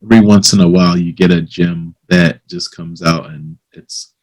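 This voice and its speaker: A man hosting a casual interview, talking informally in a somewhat halting way. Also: deep voice, fairly even intonation, a little mellow, poor audio. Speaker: interviewer, host.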